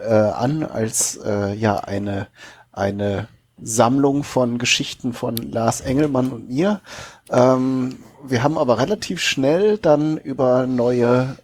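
A man speaks as a podcast co-host, explaining things off the cuff. His voice is medium in pitch, and his delivery is casual and conversational. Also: a little mellow.

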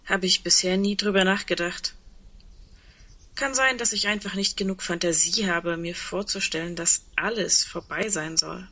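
A lowish female voice, husky yet bright and forward. She is a sceptical, candid woman who still holds on to a sliver of hope. Her delivery is plain and questioning, in the understated manner of naturalistic radio drama. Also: normal speed. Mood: pensive, sceptical. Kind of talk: naturalistic German radio play dialogue.

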